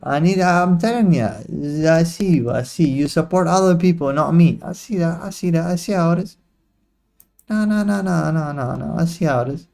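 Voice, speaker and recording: A man's voice: fairly high pitch, moderate pace, vocal fry, darkish tone. A young man hosting a live trading stream, chatting casually in slang and teasing his viewers. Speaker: livestream trading host. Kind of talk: casual live commentary to chat.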